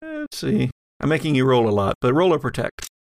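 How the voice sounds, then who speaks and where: A man runs a tabletop horror game in a creaky, somewhat low voice. He improvises scenes vividly, feeling his way through them haltingly. The sound is close and dry. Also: huge pitch swings, no echo. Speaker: game master and podcast host.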